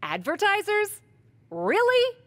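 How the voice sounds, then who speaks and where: A woman's voice: extremely high pitch, wildly swooping pitch, slow, heavily acted. An actress playing a confident young female wrestling champion who talks in a playful, persuasive way. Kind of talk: video game cutscene acting.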